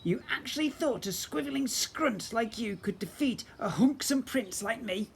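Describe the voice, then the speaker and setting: Man putting on a high, pompous goblin prince villain. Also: lively intonation, moderate pace, exaggerated voice. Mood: taunting. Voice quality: hissing. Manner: pompous, sputtering insults. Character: spoiled, sneering goblin prince, villainous and furious. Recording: clear background noise.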